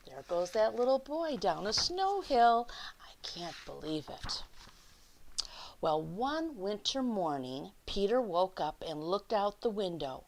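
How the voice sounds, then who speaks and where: A woman storyteller reading a picture book aloud to children, expressively, stretching key words, dropping into hushed asides and putting questions to young listeners. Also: medium pitch, slight background noise, rough recording.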